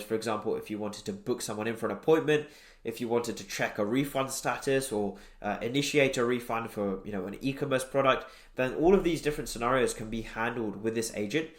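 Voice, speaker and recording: Echoey male tutorial presenter, conversational. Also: medium pitch. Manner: explanatory, conversational. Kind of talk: casual screen-share tutorial explanation. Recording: roomy, echoey voice recording.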